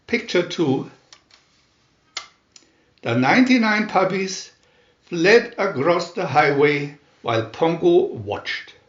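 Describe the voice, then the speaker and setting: A man narrating a children's story in a deliberate, expressive read-aloud manner. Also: a little high. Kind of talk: scripted children's story reading. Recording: roomy, echoey, dull sound.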